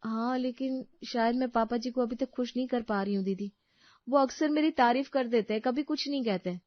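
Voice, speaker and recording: A woman playing a gentle, anxious and dutiful young daughter-in-law in a family story, speaking politely and earnestly. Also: a little high, brisk, no echo, lo-fi sound, lightly acted. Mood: troubled. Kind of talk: acted dialogue in a Hindi family story.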